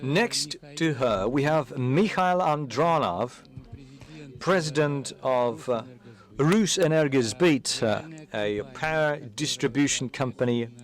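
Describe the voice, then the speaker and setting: Guy moderating a panel, talks slow, kinda unsure of himself, lots of uhs. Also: medium pitch. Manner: hesitant, unsure, filler-heavy. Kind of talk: introducing panelists.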